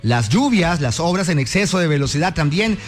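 A man with a Colombian accent hosting a morning radio show, playful and jokey in lively banter with his co-host. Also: a little high, a little fast. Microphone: studio microphone.

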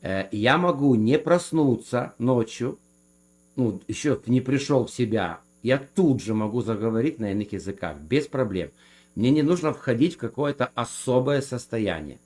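A man in a theological debate who speaks in a measured way and quotes scripture to make his case. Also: medium pitch, animated, a little mellow. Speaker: guest defending speaking in tongues.

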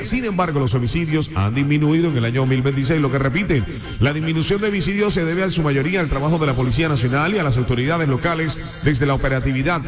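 Brief: coastal radio host, fairly high voice, roomy studio, music bed. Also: male voice. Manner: lively banter, then steady emphatic news reading. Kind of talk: morning radio news and banter.

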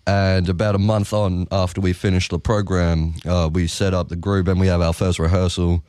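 A teenage boy with a deep voice, a young band member answering interview questions in an easygoing, hesitant way. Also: flat delivery, normal speed, darkish tone, smooth, dry recording, clean studio sound. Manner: easygoing, hesitant with frequent uhs. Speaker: guest musician.

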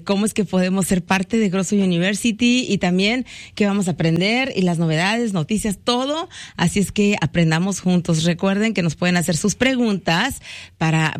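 A woman's voice: medium pitch, no room sound. A woman hosting a radio interview in a studio, talking in a friendly way with many fillers and affirmations. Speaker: radio host.

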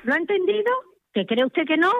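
A woman's voice: very high-pitched, lively intonation, brisk, fairly bright tone, no echo. An older woman on a phone call, insistent and exasperated, repeating herself. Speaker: prank call target. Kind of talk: phone conversation. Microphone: phone line. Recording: dull dry phone audio.

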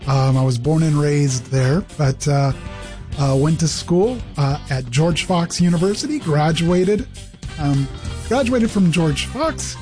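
A man's voice: fairly high pitch, expressive, takes it slowly, warm tone, faint music behind. A middle-aged man from the Pacific Northwest with an American accent, telling his own story conversationally as an interview guest. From Pacific Northwest, United States. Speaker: interview guest, ministry executive director.

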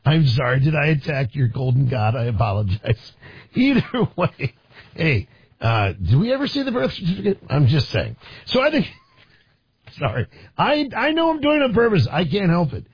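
A man's voice: a little high, big pitch swings, normal speed, slightly rough, dry recording, highs cut off. A man hosting a political talk radio show, talking in a sarcastic, combative way with rambling asides.

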